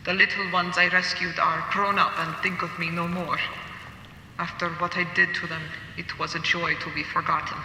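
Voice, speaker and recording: An actor playing an embittered, mournful survivor with quiet menace, speaking in grandiose, theatrical lines in a radio drama. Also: male voice, flat delivery, full character voice. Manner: grandiose, theatrical, measured speech. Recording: echoing chamber with faint rumble noise.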